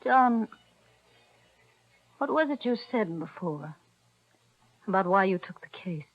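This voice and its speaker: A woman's voice: mid-range voice, expressive, slow, no room sound. An actress playing an earnest young woman in love in a 1940s radio thriller. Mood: earnest, tender. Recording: muffled old radio broadcast.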